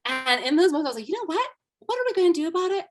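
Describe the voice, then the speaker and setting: A woman's voice: extremely high pitch, very animated, very fast. A middle-aged woman, a guest coach whose first language is Armenian, telling her career story in an earnest, reflective way in a casual interview. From United States, raised by immigrant parents.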